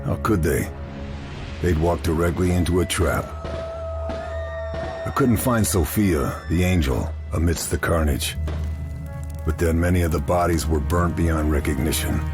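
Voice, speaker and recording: An actor playing a stoic American military marksman and spy in a war game, speaking in a terse, grave, measured way. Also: extremely low pitch, music in the background. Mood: somber, brooding. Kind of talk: cinematic war-game dialogue and brooding narration.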